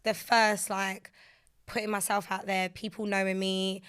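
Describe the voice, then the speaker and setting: A young woman from London, a radio and TV presenter, talking candidly as a podcast guest. Her voice is raspy today from being out a lot, and she laughs easily. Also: medium pitch, little pitch movement, a little slow, a bit bright. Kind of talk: casual podcast interview.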